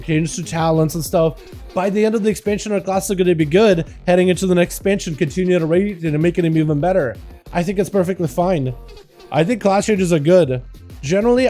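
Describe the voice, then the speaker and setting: A man with a slight Russian accent, streaming and calling game duels with excited play-by-play, then chatting loosely with his viewers. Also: high-pitched. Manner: fast, excitable play-by-play that turns to loose rambling chat. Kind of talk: excited live game commentary mixed with casual chat.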